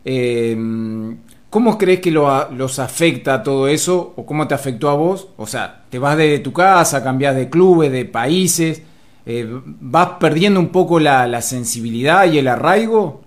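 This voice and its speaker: A man's voice: fairly high pitch, animated, unhurried. A man with an Argentine accent hosting an interview, asking long questions padded with fillers. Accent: Argentine Spanish. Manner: rambling questions with many fillers. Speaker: interviewer, show host.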